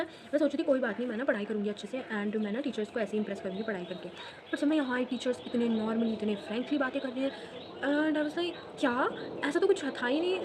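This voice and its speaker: Teen girl rambling fast about her life while she draws, voice a bit high, hiss behind her. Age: late teens. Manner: chatty, fast, mixes English phrases into Hindi. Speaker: vlogger. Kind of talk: casual storytelling while drawing.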